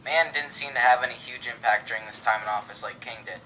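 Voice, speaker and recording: Fast-talking young man, bright voice. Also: medium pitch, slight background noise, poor audio. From Canada. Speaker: co-host of a mock radio show. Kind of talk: scripted 1920s radio show discussion by amateurs.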